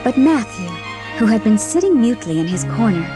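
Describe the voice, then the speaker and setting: A woman with a husky voice narrating a storybook in a scripted audiobook style. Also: a little high, sing-song, brisk, music in the background.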